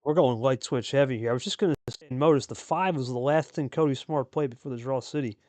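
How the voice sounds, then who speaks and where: A man's voice: mid-range voice, quick pace, a little mellow, dry recording. A man hosting and calling a card game match in a casual, chatty play-by-play style, repeating phrases for emphasis. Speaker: host and play-by-play commentator.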